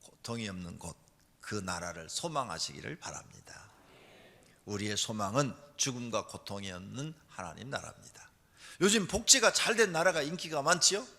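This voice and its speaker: A man preaching a church sermon as a pastor, emphatic, moving between hushed asides and loud, forceful exhortation. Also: lowish voice, sing-song, moderate pace, creaky. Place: large church hall during a service. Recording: long hall reverb.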